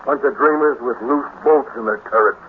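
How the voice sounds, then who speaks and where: A man's voice: mid-range voice, fairly quick, close to an everyday voice. An actor playing a grumpy, dismissive middle-aged space-cop father, blunt and slangy, in a 1950s radio drama. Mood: scornful. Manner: grumbling, scoffing, blunt, slangy. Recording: scratchy, tinny 1950s radio recording with hiss.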